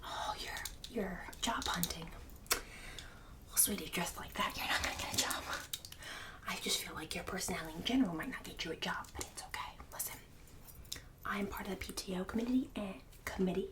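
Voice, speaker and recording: A woman's voice: mid-range voice, a little fast, recorded from a distance, close to an everyday voice. A woman playing a snobbish, bossy suburban book club hostess in an ASMR roleplay, condescending and catty with fake-sweet insults. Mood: catty, judgmental. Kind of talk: ASMR roleplay, whispered and soft-spoken.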